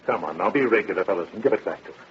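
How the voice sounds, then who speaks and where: An actor playing a shrewd, folksy police captain with a wry, needling, informal manner in a 1930s radio drama. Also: male voice, sits low, lively intonation, very fast, breathy edge, exaggerated voice. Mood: coaxing. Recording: dull vintage radio broadcast.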